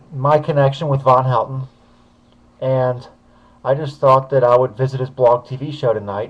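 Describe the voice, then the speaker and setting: A man's voice: medium pitch, muffled recording. A man casually commenting on a video he uploaded, talking hesitantly with many fillers.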